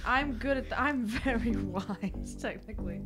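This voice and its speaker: A woman's voice: fairly high pitch, measured pace. A woman chatting casually as a player at a tabletop game, with a playful, joking manner. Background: music.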